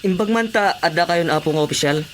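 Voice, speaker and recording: A woman's voice: lowish voice, quick pace, slight background noise, natural voice. An actress with a Filipino accent playing a complaining village woman in a radio comedy drama.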